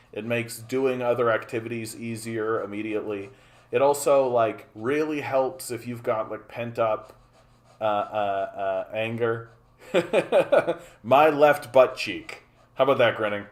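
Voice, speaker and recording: A man of forty from Canada talks casually on a livestream at a middling pitch. He rambles, repeats himself and fills gaps with "uh", stopping often to greet viewers by name. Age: 40s. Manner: rambling, repeats phrases, many fillers. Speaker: livestream host.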